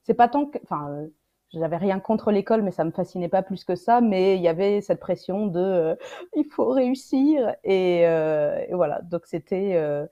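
Mellow young woman, muffled call audio. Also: on the low side, expressive, moderate pace. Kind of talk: casual chat. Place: room at home, indoors. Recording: dull lo-fi video call audio.

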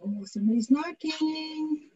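A woman on a headset video call, speaking slowly and gently to young children as their speech teacher, in a coaxing sing-song way. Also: sits high, background noise. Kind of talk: slow, simple talk to young children. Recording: muffled video-call audio.

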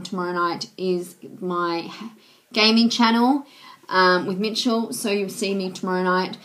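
A young woman with a cold, chatting casually to her webcam in a small room at home, soft and hoarse, with many ums. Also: medium pitch. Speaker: YouTuber. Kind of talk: casual vlog chat to camera. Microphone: webcam at close range.

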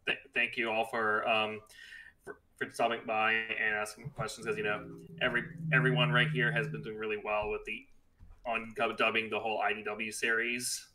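A man with a very sharp, forceful voice and little pitch movement. He speaks casually at a panel, halting and repeating words. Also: completely flat delivery, rough recording. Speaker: panel co-host.

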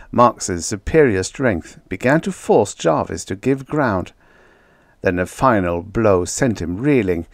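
Low-pitched man narrating vintage science fiction. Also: dry recording, clean studio sound. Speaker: audiobook narrator.

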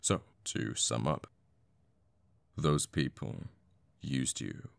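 An actor playing a conflicted, protective assassin boyfriend in an intimate ASMR audio roleplay, speaking tenderly and haltingly with pauses. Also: male voice, very deep voice, creaky, dry recording, full character voice. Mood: sheepish, confessing.

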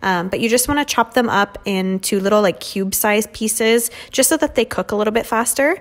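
Young woman, mid-pitched, relaxed chatty vlogger narrating her home cooking. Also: a little fast. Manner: chatty, many "um" and "like" fillers. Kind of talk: casual cooking voice-over. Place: home kitchen.

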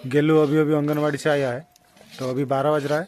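A man's voice: fairly high pitch, little pitch movement, brisk, darkish tone, slight background noise. A man in his thirties with an Odia accent, chatting to viewers in a casual village cooking vlog, filmed on a phone held at arm's length. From Odisha, India. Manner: chatty, addresses viewers directly. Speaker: vlogger. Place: village home, indoors and outdoors. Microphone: phone camera at arm's length.

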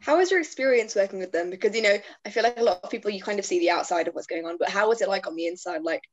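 A woman's voice: medium pitch, very fast, soft background music. A young woman asking casual, slightly hesitant interview questions as a trainee over a video call. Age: young adult. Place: plain indoor room. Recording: dull video-call audio.

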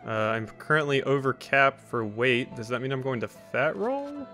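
Male gaming host, average pitch, chatty and rambling with dry asides, soft music underneath. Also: expressive, normal speed. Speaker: let's play host. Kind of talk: casual gameplay commentary. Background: faint game music.

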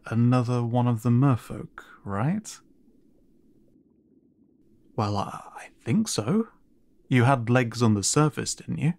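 A man voices a gentle male siren in an intimate audio drama. He speaks slowly at a middling pitch, stumbling over his words with concern. His delivery often softens into a breathy, careful hush. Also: no echo. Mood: reassuring. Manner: halting, stammering, breathy reassurance with frequent dips to whisper. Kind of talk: audio roleplay addressed to the listener.

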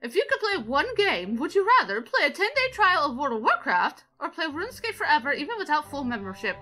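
A woman's voice: high voice, very animated, fairly quick, sharp tone, full character voice. A woman voicing an elegant female anime attendant, teasing and playfully prim, in improvised gaming banter. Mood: chatty, curious.

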